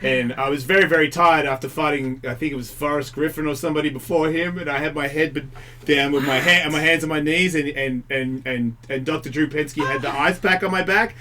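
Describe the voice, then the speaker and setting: A man's voice: on the high side, fairly even intonation, a little fast, bright, forceful tone, slight background noise. A man in his forties hosting a casual radio talk show, telling rambling stories and laughing often.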